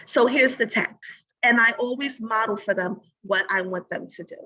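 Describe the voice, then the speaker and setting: A woman teacher giving a read-aloud lesson in an instructive way, pausing to think aloud and pose guiding questions. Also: a little high, bright, forceful tone, muffled recording.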